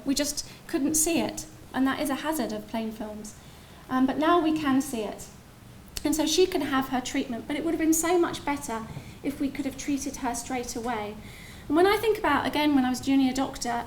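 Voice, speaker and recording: A woman's voice: sits high, slight background noise. A woman speaking as a doctor in a public medical lecture, conversational, with sympathetic asides. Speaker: doctor lecturing on emergency radiology. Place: indoor public lecture venue.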